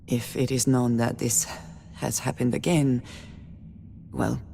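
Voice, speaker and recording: An actress playing a guarded, steely-calm woman space explorer in a video game, speaking in formal, measured sentences. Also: rumbling low, vocal fry, in a large hall, a little hiss or hum, full character voice. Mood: guarded, stern. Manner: formal, measured speech without contractions. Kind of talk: video game cutscene acting.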